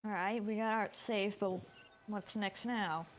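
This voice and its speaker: A woman's voice: mid-range voice, fairly even intonation, measured pace, background noise. A woman giving casual live commentary as she streams a video game.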